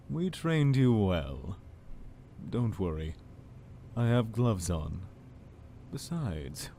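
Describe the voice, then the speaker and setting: A manipulative cult lord played by a man speaks slowly and deliberately in a fairly low voice that rises and falls dramatically. He is falsely tender and condescending, a formal radio-play tyrant, over a faintly noisy recording. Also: warm tone, heavily acted. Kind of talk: dramatic audio drama acting.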